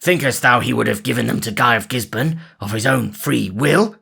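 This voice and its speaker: A man's voice: medium pitch, moderate pace, vocal fry, pushed and bright, breathy edge, full character voice. A man voicing an outlaw who fakes a harsh voice in disguise.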